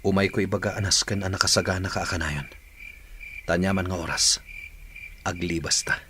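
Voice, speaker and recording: A man acting a repentant bandit in an Ilocano radio drama, speaking in an earnest, low-key, urgent way with an Ilocano accent. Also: steady tone, fairly quick, noisy background, close to an everyday voice. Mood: hushed, earnest. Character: repentant male bandit secretly planning an escape.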